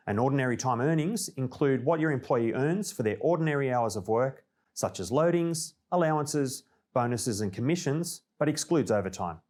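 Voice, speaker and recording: A man's voice: mid-range voice, a little mellow. Australian man presenting scripted business information. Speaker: presenter of a company newsreel.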